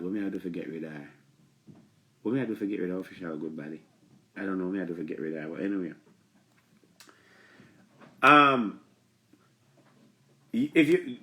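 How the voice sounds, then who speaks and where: A man in his forties with a Jamaican accent, hosting a live talk stream from a small room with a stuffy nose, chatty and repetitive. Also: lowish voice. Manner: chatty, repetitive, animated when addressing viewers. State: stuffy from allergies. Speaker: live show host. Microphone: phone camera close up.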